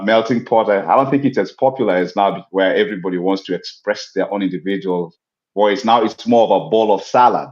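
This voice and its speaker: A man from Kenya, a guest explaining his ideas in a measured, reflective way over a remote call. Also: lowish voice, a little mellow. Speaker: guest, business owner. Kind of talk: casual interview talk. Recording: echoey, dull lo-fi audio.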